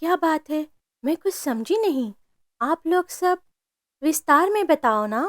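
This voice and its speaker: Woman as cartoon mother bird, high voice, very animated, loving, protective, emphatic. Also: a little slow, no room sound, studio quality. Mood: concerned. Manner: tender, emphatic, indignant when her child is threatened. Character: loving, protective mother bird, adult female, fiercely devoted. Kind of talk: Hindi cartoon story voice acting.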